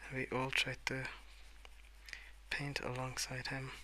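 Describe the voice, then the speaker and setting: A man speaking in a soft, hushed ASMR ramble, drifting between murmur and whisper in a hesitant, unhurried way. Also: mid-range voice, monotone, a little hiss or hum, rough recording. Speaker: host of an ASMR colouring channel. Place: indoors at a wooden desk.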